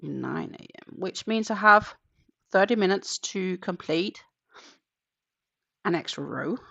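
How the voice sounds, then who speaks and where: Danish-native woman in her 30s, lower gravelly voice, relaxed live-stream crochet chat, dull lo-fi sound. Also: takes it slowly. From Denmark. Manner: relaxed, counts stitches aloud, chatty with viewers. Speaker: crochet channel host. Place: home in the Netherlands.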